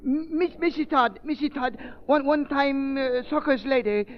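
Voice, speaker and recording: Very shrill, pleading servant. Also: male voice. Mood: terrified pleading. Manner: halting, pleading, stammering. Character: frightened, pleading male servant in broken English. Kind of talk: 1930s radio acting. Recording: muffled 1930s radio recording.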